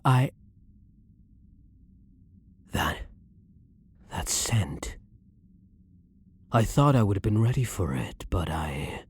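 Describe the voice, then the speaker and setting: A man playing a blunt, brooding vampire hunter, himself a vampire, in a measured, dominant ASMR roleplay with a breathy voice. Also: on the low side, a little mellow, full character voice. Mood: shaken, apologetic. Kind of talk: ASMR roleplay, dramatic monologue.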